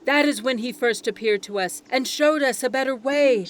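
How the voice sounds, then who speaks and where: A woman's voice: sits high, loud music behind the voice, natural voice. Woman as a solemn, devout island priestess storyteller. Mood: solemn, reverent. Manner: reverent, measured oratory. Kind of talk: ceremonial storytelling in an audio drama.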